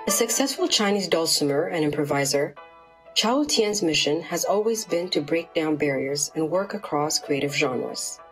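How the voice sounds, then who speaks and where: Low-voiced woman narrating over soft music. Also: moderate pace. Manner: even, polished voice-over delivery. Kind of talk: scripted documentary narration.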